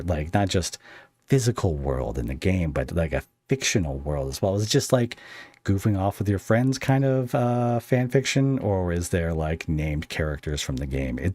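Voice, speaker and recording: A man's voice: low-pitched, a little mellow, dry recording. A man hosting a casual interview, talking in a rambling, stop-start way. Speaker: podcast host interviewing a guest.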